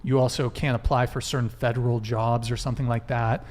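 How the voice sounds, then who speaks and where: A man's voice: medium pitch, little pitch movement, a little fast, darkish tone, slight background noise. A young man hosting a live-streamed panel chat, wired and rambling, swearing often as he reads out donations. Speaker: host.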